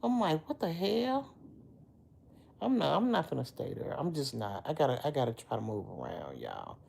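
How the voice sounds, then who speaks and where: A middle-aged woman with a deep, slightly hoarse voice, chatting casually on a livestream and answering commenters. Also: sing-song, moderate pace, mellow. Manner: chatty, talks back to commenters by name. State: a little hoarse. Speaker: livestream host. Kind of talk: casual livestream chat with viewers.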